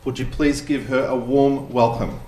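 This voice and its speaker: A man's voice: mid-range voice, fairly even intonation, normal speed, very noisy. A man acting as conference host, introducing the next speaker in an upbeat, welcoming way. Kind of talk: event introduction. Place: conference room. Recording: echoey conference room.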